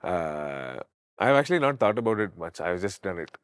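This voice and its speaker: Deep-voiced man. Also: big pitch swings, brisk, clear, resonant tone, no echo, studio quality. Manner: reflective, easygoing, laughs often, mixes Kannada into English. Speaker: veena player and singer, guest. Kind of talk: casual interview chat.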